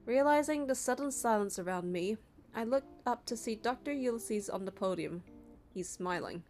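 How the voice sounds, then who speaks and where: A woman's voice: fairly high pitch, animated, moderate pace, a little mellow. A young woman narrating a game playthrough, reading the story and the player character's part in a casual way. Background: music.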